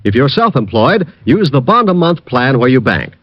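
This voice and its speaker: A man's voice: mid-range voice, big pitch swings, normal speed, no echo. A man announcing on a 1940s radio program with polished, formal delivery. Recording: dull old radio broadcast with music.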